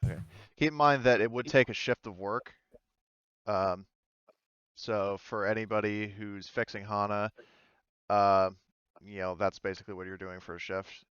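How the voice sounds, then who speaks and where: Low, smooth-voiced man running a game. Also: fairly even intonation, fairly bright tone, no echo, muffled recording. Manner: halting, searching for words. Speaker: game master of an online tabletop game. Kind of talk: improvised game narration, casual.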